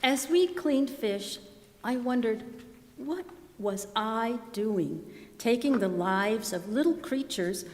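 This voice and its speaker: A woman's voice: fairly high pitch, animated, slow pace, a little mellow. A woman reading a scripted first-person story aloud as part of a readers theater performance. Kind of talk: scripted first-person narration read aloud to a live gathering. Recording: long echoing hall reverb.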